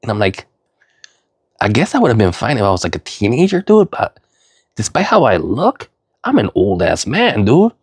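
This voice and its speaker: A man's voice: huge pitch swings, moderate pace, pauses often, breathy edge, no echo, studio quality. Sniffly man rambling with a cold. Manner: rambling, filler-heavy, repeats "you know"; earnest, swings into loud high emphasis. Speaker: vlogger. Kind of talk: rambling personal vlog monologue.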